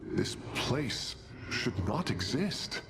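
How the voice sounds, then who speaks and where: A man's voice: medium pitch, sing-song, very slow, heavy background noise. A man playing an earnest, analytical alien engineer in a video game, precise and formal in his speech. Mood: awed, analytical. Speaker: engineer and scientist. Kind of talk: video game cinematic dialogue. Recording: loud engine-like ship ambience behind.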